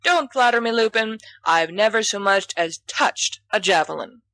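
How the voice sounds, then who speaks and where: A woman's voice: medium pitch, animated, moderate pace, a bit bright, dry recording. A woman voicing a boastful, theatrical teenage schoolboy who rambles grandly in an audiobook story.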